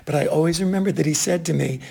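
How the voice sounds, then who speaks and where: A man in his sixties from Boston, with a Boston accent, telling stories from his stage career in a chatty, animated way. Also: fairly high pitch, rapid-fire, gravelly, husky. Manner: chatty, animated anecdotes with fillers. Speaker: stage actor and director. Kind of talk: casual interview storytelling.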